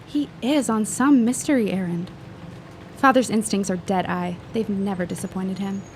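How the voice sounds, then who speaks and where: A woman's voice: medium pitch, moderate pace, a little hiss or hum, natural voice. An actress playing an eager, inquisitive young woman sleuth in a period audio drama, with a confiding manner. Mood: eager, hushed excitement.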